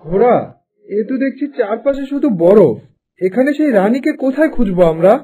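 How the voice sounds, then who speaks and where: A man's voice: very high voice, expressive, fairly quick, mellow, soft background music. A man voicing an obedient, determined peasant farmer in a cartoon, earnest and respectful. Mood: searching. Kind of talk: Bengali cartoon dubbing.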